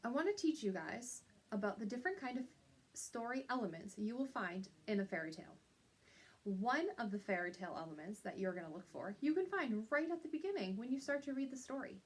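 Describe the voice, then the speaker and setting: A woman's voice: on the high side, expressive, brisk, husky. A woman teacher giving an instructional lesson to young pupils, explaining step by step and checking in with little tag questions.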